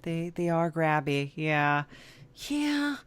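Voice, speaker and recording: A woman hosts an improvised comedy interview, talking slowly and haltingly with lots of fillers. Her voice sits a little low and the recording is close and dry. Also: sing-song, smooth, no room sound. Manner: hesitant, many fillers, playing along with mock seriousness. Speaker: podcast host.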